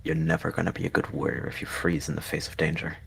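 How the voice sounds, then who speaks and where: A powerful, cold-tempered warrior cat mentor , acted by a man. The voice sits low and commands bluntly, snapping and sneering in animal-fantasy roleplay style. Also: flat delivery, fast talker, creaky, husky, full character voice. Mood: harsh, demanding.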